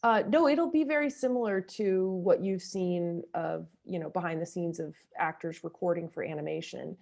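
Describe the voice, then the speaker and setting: A woman's voice: fairly low pitch, moderate pace, creaky, a little mellow. A woman speaking as a director, explaining her work in an interview.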